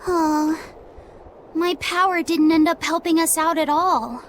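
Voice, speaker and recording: A woman playing an earnest, sensitive and excitable young boy in an anime-style game cutscene. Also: very high voice, measured pace, slight background noise. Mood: sheepish. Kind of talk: English-dubbed anime game cutscene acting.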